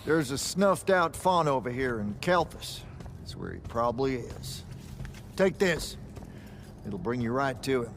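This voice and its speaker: Veteran mage for a game cutscene: mid-range voice, wry, folksy, salty, shrewd. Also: male voice, mid-range voice, very animated, a little slow, gravelly, slight background noise. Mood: wry, matter-of-fact. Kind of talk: video game cutscene acting.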